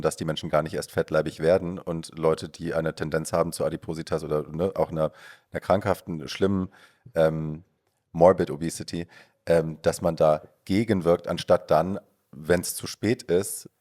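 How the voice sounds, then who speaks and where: A man hosting a podcast, slightly hoarse from a cold, rambling with many fillers as he asks questions. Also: a bass voice, flat delivery, normal speed, a little mellow. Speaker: podcast host. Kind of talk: casual podcast conversation.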